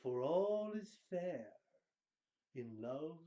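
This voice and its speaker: A man performs a grandiose dramatic reading in a slightly high, mellow voice. He takes his time, stretching phrases out with hammy pauses. The recording sounds a little dull and lo-fi. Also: big pitch swings. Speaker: show host giving dramatic readings.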